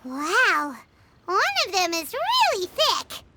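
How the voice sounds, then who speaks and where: A woman voicing a small, cheerful, childlike fairy companion in a video game, with a squeaky voice. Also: wildly swooping pitch, takes it slowly, heavy background noise, heavily acted. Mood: amazed. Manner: speaks of herself in third person, wide-eyed. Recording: loud music behind.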